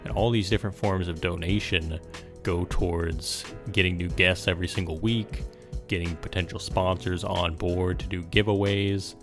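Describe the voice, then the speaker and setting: A man hosting a podcast, speaking in a casual, conversational way to a guest and listeners. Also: sits low. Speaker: podcast host.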